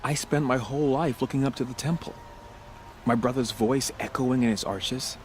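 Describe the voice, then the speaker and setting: An actor playing a humble, remorseful priest in a video game, speaking in a measured, formal, earnest way. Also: male voice, mid-range voice, a little hiss or hum, close to an everyday voice. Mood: mournful, reverent. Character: solemn, grieving young priest, humble and remorseful.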